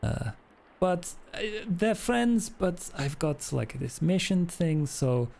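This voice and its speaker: A man acts out a shady male vampire wheeler-dealer for a tabletop game. The voice is gravelly and mellow. He is eager to haggle and stumbles hesitantly through his offers. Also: on the high side, sing-song, slow, pitched above the performer's own voice, heavily acted. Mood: agreeable, wary.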